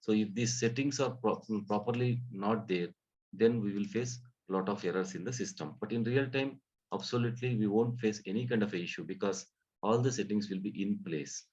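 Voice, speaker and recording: A man giving a live software training lesson, explaining step by step in a halting way, often repeating and rephrasing his phrases. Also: fairly low pitch. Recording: dull, muffled lo-fi audio.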